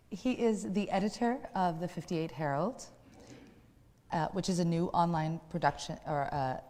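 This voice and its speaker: A woman's voice: low-pitched, darkish tone. A woman with an American accent hosting a live stage show, speaking in a formal, courteous way. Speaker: host. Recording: hall reverb.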